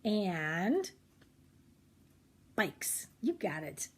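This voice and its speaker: A woman's voice: mid-range voice, sing-song, drawn-out words, breathy edge. An older woman reading a children's chapter book aloud expressively, slipping in chatty little asides to young listeners. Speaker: children's read-aloud host.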